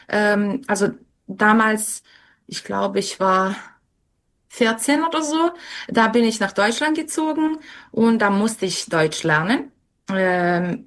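Slow, hesitant young woman guest. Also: medium pitch, fairly bright tone. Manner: hesitant, with many fillers. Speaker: interview guest. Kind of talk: casual spoken answers.

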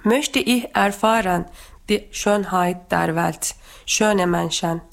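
A woman from Turkey with a Turkish accent, a teacher speaking careful, halting non-native German as she talks and reads poetry. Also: on the low side, gravelly. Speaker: guest teacher reading poetry. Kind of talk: personal talk and poetry reading.

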